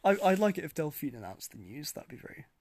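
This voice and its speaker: A man's voice: on the high side, very animated, fast, a little mellow, dry recording. A man co-hosting a podcast, hesitant and giggly in casual chat, in a small recording booth. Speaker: podcast co-host. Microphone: studio microphone.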